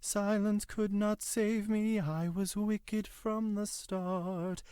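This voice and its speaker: An actor playing a pious, guilt-burdened prisoner in an audio drama, speaking in formal, measured phrases. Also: male voice, high-pitched, fairly even intonation, warm tone, clear, resonant tone, no room sound, studio quality. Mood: mournful.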